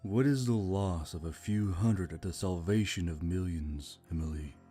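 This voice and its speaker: Hushed, low, stern spirit voice by a man. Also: normal speed, slightly rough, faint music behind. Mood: cold, stern. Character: cold, calmly commanding spirit voice whispering in thoughts. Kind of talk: audiobook character dialogue.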